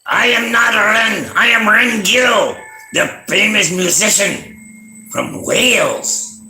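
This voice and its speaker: A man voicing an excitable, playful comic character who exclaims emphatically in a high voice. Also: slow pace, reverberant, a little hiss or hum, full character voice. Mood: excited, playful.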